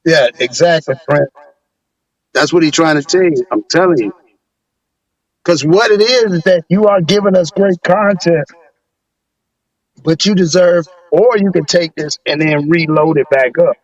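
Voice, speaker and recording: A man with a raspy voice calling into a casual chat, preaching and repeating his points for emphasis. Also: on the high side, unhurried, long pauses. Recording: dull lo-fi call audio.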